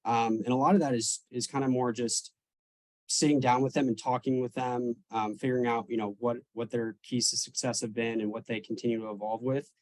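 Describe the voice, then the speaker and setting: A man's voice: mid-range voice, level tone, brisk, darkish tone, no echo, low-quality recording. Young man, twenties, video call guest, laid-back, lots of ums, dry close sound. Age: 20s. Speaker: real estate agent. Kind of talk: video call interview answers.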